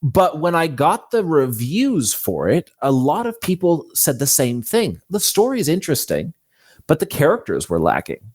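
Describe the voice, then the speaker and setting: A man's voice: a little high, huge pitch swings, normal speed, darkish tone, husky. A man speaking as an interview guest, telling his own story casually and candidly, acting out quoted thoughts as he explains. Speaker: guest author.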